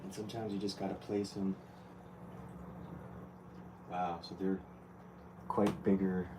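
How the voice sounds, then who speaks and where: Low quiet dude rambling on a phone mic from a ways back, hiss in the room, lots of ums. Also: male voice, level tone, slow, recorded from a distance. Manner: quiet, rambling, many fillers and restarts. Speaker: aquarium hobbyist vlogger. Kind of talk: casual talk while working on a tank. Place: indoor room at home. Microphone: phone mic, off camera.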